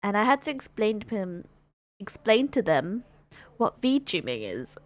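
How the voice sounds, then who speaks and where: Slow-paced, rambling woman streamer with lively pitch. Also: dry recording. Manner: hesitant, rambling, repeats and rephrases herself. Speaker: livestreamer and gamer. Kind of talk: casual livestream chat.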